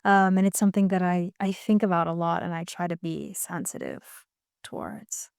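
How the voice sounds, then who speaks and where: A woman's voice: lowish voice, steady tone, moderate pace, vocal fry, a little mellow, smooth, no echo, studio quality. A woman from the United States, a podcast guest speaking in a thoughtful, hesitant way with many restarts. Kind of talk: reflective interview talk.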